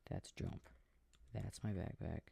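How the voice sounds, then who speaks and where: A man playing an affectionate, easily startled boyfriend in an intimate sleep-aid roleplay, rambling softly to himself about his game and often drifting into whispers. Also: deep voice, wildly swooping pitch, slow, vocal fry, slightly rough, no echo, exaggerated voice. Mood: absorbed, muttering.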